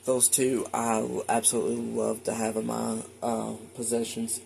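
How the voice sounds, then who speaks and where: Gravelly man, casual collector. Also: medium pitch, monotone, normal speed, darkish tone, soft background music. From United States. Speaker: hobbyist collector showing a radio collection. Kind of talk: casual rambling show-and-tell. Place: indoors at home.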